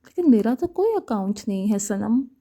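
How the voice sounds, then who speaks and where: A woman's voice: a little high, big pitch swings, moderate pace, warm tone. A woman voicing a hesitant, guarded young woman in a romantic story, answering curtly.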